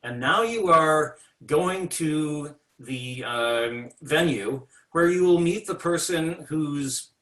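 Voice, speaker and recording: A man's voice: on the high side, slow, hardly any pauses, fairly bright tone, echoey room, far from the mic, low-quality recording. A man moderating an online convention panel, steering the discussion in an orderly way.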